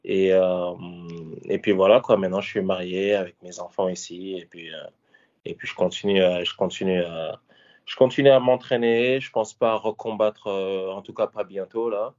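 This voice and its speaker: A man in his thirties from Paris talks through his fighting career in a very deep voice. He speaks casually and haltingly, often restarting and filling pauses. The audio is dull and lo-fi. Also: steady tone, a little mellow. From France, Paris. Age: 30s. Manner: hesitant, many fillers and restarts. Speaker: former MMA fighter and coach. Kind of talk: casual interview answers.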